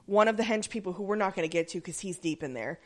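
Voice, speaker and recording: A woman's voice: mid-range voice, fast talker. A woman co-hosting a casual podcast chat, blunt and casually swearing. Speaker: podcast co-host.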